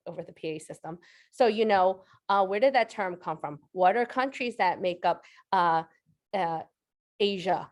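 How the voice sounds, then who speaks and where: Reflective woman educator, lowish voice. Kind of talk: panel discussion.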